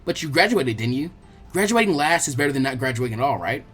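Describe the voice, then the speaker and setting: A man's voice: mid-range voice, sing-song, quick pace, a bit bright, slightly rough, faint music behind. A man voicing a friendly, frank young ninja girl with a casual, encouraging manner, for a fan fiction audiobook. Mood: reassuring.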